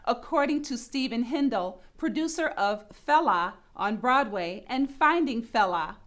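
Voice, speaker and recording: A woman reads scripted narration for a broadcast feature. Her voice sits a little high, and she speaks in a deliberate, upbeat way. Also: lively intonation, fairly bright tone.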